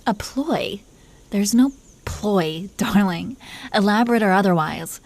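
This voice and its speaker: Mellow, possessive dragon queen played by a woman. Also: mid-range voice, expressive, slow. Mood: coolly possessive. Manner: playful, commanding, mock-sweet. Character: possessive, teasing dragon queen, dominant yet doting wife. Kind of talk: intimate roleplay audio acting.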